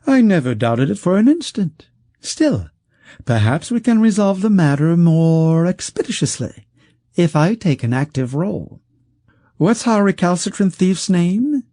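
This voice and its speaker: A silky, condescending rich wizard who schemes and wheedles, as an audiobook narrator puts him on. His voice sits higher than the narrator's own, lively and swooping, soft-toned and a little husky. Dry, close recording. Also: measured pace, dry recording, exaggerated voice. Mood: scheming.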